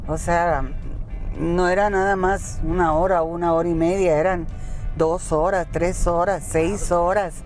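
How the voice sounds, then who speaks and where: A woman in her fifties with a Colombian accent tells her story from the passenger seat of a moving car. She speaks candidly and at a steady, warm pace. Faint music plays under the conversation. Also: on the low side, unhurried. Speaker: singer. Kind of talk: casual interview chat. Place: inside a car. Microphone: car-mounted camera.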